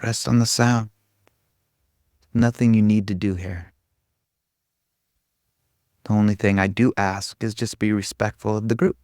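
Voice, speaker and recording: Man, lowish voice, meditation teacher, casual group talk, irreverent and self-deprecating, loose rambling delivery, dry close sound. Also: a little mellow, clean tone, no echo. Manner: irreverent, casual, frequent swearing. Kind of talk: informal spiritual talk to a group.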